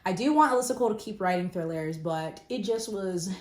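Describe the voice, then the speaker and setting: Woman, medium pitch, conversational book vlogger, informal and self-deprecating, echoey indoor sound. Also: expressive, a little fast, darkish tone. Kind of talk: casual book review chat to camera. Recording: roomy echo.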